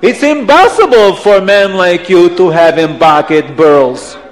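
A man voicing an angry, greedy, boastful rich seller in a children's story, blustering loudly in broken English. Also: very high-pitched, big pitch swings, takes it slowly, pitched above the performer's own voice, full character voice. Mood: amazed, suspicious. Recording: long hall reverb.

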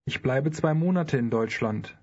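A man's voice: mid-range voice, expressive, a little mellow, breathy edge. A man modeling phrases for a language course, pronouncing each one carefully. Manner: careful, deliberate pronunciation. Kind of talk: scripted phrase repetition. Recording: dull lo-fi studio recording.

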